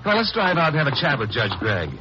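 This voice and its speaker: An actor playing a hard-boiled lawyer in a 1950s radio crime drama, with a terse, dry delivery. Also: male voice, fairly high pitch, sing-song, fast, over loud music. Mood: earnest, resolute. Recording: noisy, muffled vintage radio recording.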